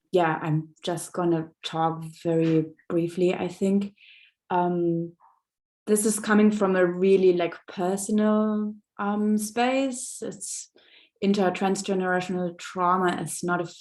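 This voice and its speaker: A woman from Germany, who grew up in Berlin, gives a soft-spoken, hesitant personal reflection as a panelist in an online seminar, often searching for words. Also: lowish voice, flat delivery, slow. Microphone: video call microphone.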